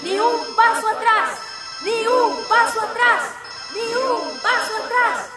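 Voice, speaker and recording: An actress in a radio drama playing a fiery activist rallying villagers at a road blockade, defiant and declamatory. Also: female voice, very high-pitched, lively intonation, moderate pace, reverberant, in a large hall, distant mic, loud music behind the voice.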